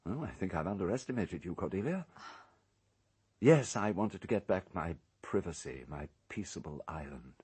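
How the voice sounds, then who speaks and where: Deep, husky, calculating English gentleman. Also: lively intonation, full character voice. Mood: smooth, regretful. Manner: measured, precise, coolly unrepentant. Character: urbane, calculating island owner calmly confessing a cover-up. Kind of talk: radio drama acting.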